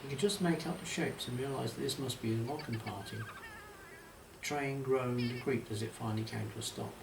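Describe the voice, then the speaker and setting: A man's voice: medium pitch, normal speed, creaky, background noise. A man reading his own nostalgic story as scripted storybook narration, in a roomy, slightly distant recording. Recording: roomy, echoey, distant, music behind. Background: music.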